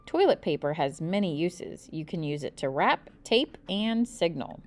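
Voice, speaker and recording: A woman's voice: on the low side, big pitch swings, a little slow, a little mellow. A woman reading the part of a confident uncle who instructs children in survival tips, in a children's storybook. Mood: confident, instructive. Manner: emphatic, instructive. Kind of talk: children's storybook read-aloud.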